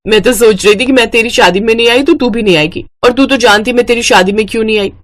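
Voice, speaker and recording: A woman's voice: a little high, fast talker, a bit bright, lightly acted. Woman voicing a coy, scheming young bride. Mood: gushing. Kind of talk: Hindi moral-story cartoon acting.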